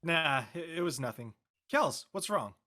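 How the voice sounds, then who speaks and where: A man playing a blunt, skeptical and slightly awkward high school boy in a script reading. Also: expressive, a little slow, sharp tone. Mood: awkward, casual. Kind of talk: casual live script reading.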